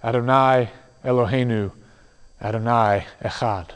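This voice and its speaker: A man giving a sermon in a teaching manner, with dramatic pauses and soft drops in his voice. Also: medium pitch, steady tone, clean tone. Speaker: preacher. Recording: long hall reverb.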